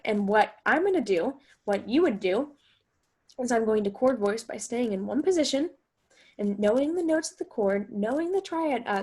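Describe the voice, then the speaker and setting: A woman's voice: on the high side, darkish tone, slightly rough. A woman giving an instructional guitar lesson, explaining step by step and often posing questions as she goes. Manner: explanatory, talks through steps with rhetorical questions. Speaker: guitar teacher and host.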